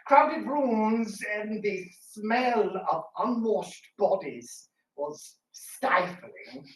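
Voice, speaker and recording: A man's voice: high-pitched, animated, very slow. A man co-presenting a scripted talk with banter, like a theatre performer. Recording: roomy, distant mic.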